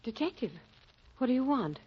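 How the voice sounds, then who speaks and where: An actress playing a haughty, indignant bride-to-be in a 1940s radio drama, clipped and dismissive. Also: female voice, high voice, big pitch swings, moderate pace, slightly rough, heavily acted. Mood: wary, then angry. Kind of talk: 1940s radio noir acting. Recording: dull, hissy old radio broadcast.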